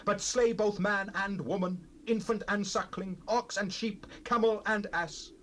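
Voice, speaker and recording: Casting: fervent prophet, notably high-pitched, solemnly declamatory, scripture drama on a hissy old cassette. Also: male voice, fairly even intonation, fairly bright tone. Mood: fierce command. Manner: declamatory, commanding, drawn-out phrases. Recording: noisy cassette rip, ambient sound.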